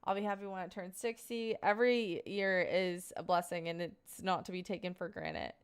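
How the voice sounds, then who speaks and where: Smooth-voiced young woman chatting with viewers. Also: on the low side, expressive, moderate pace. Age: 20s. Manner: rambling, filler-heavy, earnest advice. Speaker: live streamer. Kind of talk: casual chat answering viewers.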